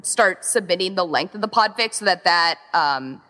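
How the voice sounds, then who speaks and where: A woman's voice: on the low side, animated, normal speed, pushed and bright. A woman chatting casually as an interview guest, in an informal, gushing, emphatic way, with lots of filler "like". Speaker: podcast interview guest, event organizer. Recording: long reverberant echo.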